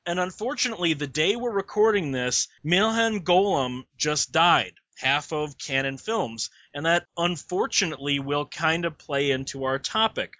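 Male podcast host, high voice, wisecracking asides, dry lo-fi audio. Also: bright, forceful tone, no echo. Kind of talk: casual podcast hosting. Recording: dull lo-fi audio.

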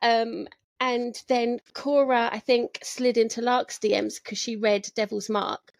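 Woman, London English accent, forties, self-deprecating jokes, fairly high voice, dry close sound. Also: flat delivery, gravelly, dry recording. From Southwest London, England. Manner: self-deprecating, jokey, quick asides. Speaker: guest author. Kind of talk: casual podcast chat.

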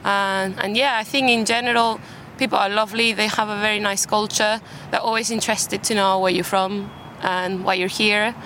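A woman's voice: medium pitch, steady tone, normal speed, hardly any pauses, pushed and bright, low-quality recording. A young woman from Spain with a British English accent, chatting easily as an interview guest and laughing often, recorded outdoors in a city park. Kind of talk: casual chat. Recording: outdoor street noise.

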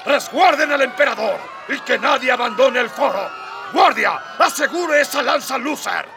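A woman's voice: high voice, expressive, moderate pace. A woman playing a zealous, hot-tempered imperial security officer who gives imperious orders in a radio serial. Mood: commanding. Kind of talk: dramatic radio serial acting. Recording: distant voice over clear music.

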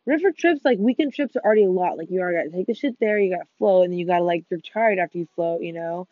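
A teenage girl co-hosting a casual gaming podcast with friends, talking fast, swearing and running herself down, with excited outbursts. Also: on the low side, dry recording. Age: teens. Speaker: podcast co-host. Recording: muffled dull sound, faint music behind.

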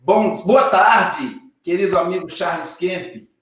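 Guy hosting a live show, high voice, super echoey room, sounds far off. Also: takes it slowly. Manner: warm, effusive introductions. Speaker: host. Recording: very echoey, distant from mic.

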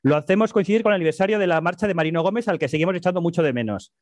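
Male podcast host, quick and fairly high-pitched, informal interviewing, dry recording. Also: dry recording.

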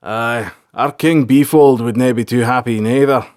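A man's voice: medium pitch, steady tone, moderate pace, a little mellow, no echo, studio quality, natural voice. A man voicing a blunt, sardonic sergeant of a band of fantasy soldiers, talking in colloquial speech. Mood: apologetic. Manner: blunt, colloquial, dryly sarcastic.

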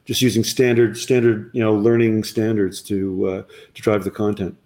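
A man's voice: on the low side, level tone, normal speed, a little mellow. An eager man explaining his company's offerings in an interview. He often doubles his words and restarts sentences, pushing ahead with enthusiasm. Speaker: company representative. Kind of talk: conversational business interview.

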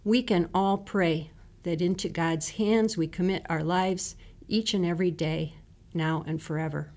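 A woman reading scripture and a written reflection in a measured, solemn manner. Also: fairly low pitch, fairly even intonation, darkish tone. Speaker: devotional reader.

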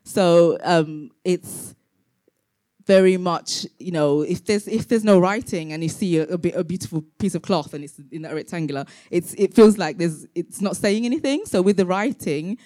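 A woman designer from Tanzania talks an audience through her work. She speaks haltingly, with many pauses and restarts, in a soft, dark-toned voice. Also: fairly low pitch. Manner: hesitant, many fillers and restarts. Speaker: fashion designer presenting her brand. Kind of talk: live presentation to an audience.

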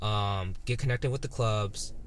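A man's voice: lowish voice, a little slow. A man in his twenties talking casually as a guest, laid-back and rambling with lots of fillers and restarts. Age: 20s. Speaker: guest photographer. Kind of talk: casual podcast chat.

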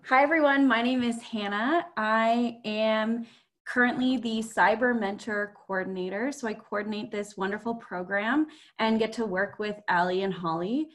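A woman's voice: a little high, moderate pace, a bit bright. Chatty young woman introducing herself. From Ontario, Canada. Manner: friendly, chatty, a few restarts. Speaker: program coordinator and podcast host. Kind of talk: casual self-introduction.

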